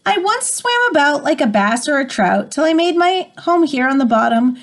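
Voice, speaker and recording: A woman's voice: sits high, sing-song, normal speed, pitched above the performer's own voice. Woman voicing a sly, singsong flounder fish. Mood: boastful, sly. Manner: singsong rhyming storybook delivery. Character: sly flounder fish, wary yet boastful hunter.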